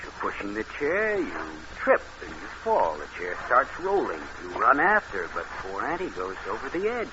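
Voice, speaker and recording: A man's voice: medium pitch, wildly swooping pitch, moderate pace, few pauses. A man playing a scheming, cold-blooded, wary con man in a vintage radio noir drama. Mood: urgent, plotting. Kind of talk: 1940s radio noir acting and narration. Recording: noisy muffled old radio recording.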